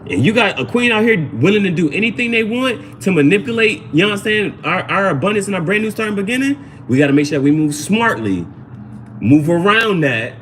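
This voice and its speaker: A man's voice: high voice, expressive, a little fast, a bit bright, a little hiss or hum. A man hosting a casual live tarot reading, chatting to viewers in slangy speech and often repeating his phrases. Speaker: tarot reader, livestream host.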